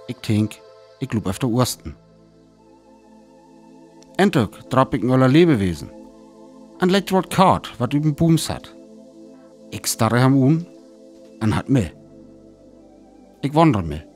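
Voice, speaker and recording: An actor with a North Frisian accent playing a weary lone survivor of an invasion in a radio play, telling it in sparse, dramatic phrases. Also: male voice, medium pitch, darkish tone. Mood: startled, tense. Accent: North Frisian (Föhr).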